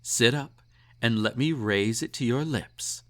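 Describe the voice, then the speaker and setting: A man acts out a haughty emperor in an intimate audio roleplay. He speaks at a leisurely, drawn-out pace in a mid-pitched male voice, savoring ornate phrases. His manner is condescending and possessive, teasing the listener like an indulged pet. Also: a bit bright, slight background noise, natural voice. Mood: doting, controlling. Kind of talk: intimate roleplay audio drama, second-person monologue.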